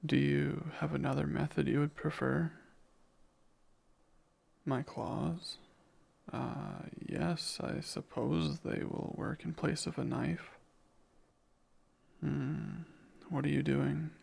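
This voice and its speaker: A man plays a devoted, yearning demon bound to a master in an ASMR romance. The voice is fairly high for a male, husky and thick with gravelly creak. The words come slowly, courtly and intimate. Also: steady tone, darkish tone, heavily acted. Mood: hesitant, intimate. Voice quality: husky, breathy with heavy creak. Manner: formal, courtly, murmuring.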